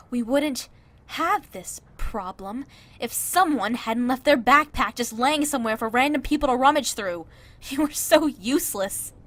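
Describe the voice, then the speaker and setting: A woman's voice: sits high, lively intonation, a little slow, a bit bright, exaggerated voice. A woman playing a cold, bossy conspirator who scolds and commands her underlings in a condescending way. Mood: exasperated, scolding. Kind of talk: dramatic voice acting in audio drama.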